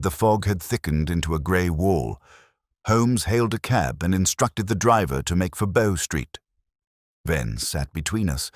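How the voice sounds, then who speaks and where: A man narrates a detective story with solemn, measured care. His voice is extremely deep yet moves with a lively range of pitch, and the recording is close and dry. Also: dry recording. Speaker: audiobook narrator.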